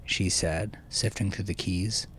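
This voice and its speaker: A man narrating a dark story like an audiobook, intense and theatrical, swinging between hushed phrase endings and forceful peaks. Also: deep voice, steady tone, measured pace, a little hiss or hum. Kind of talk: dramatic scripted audiobook narration.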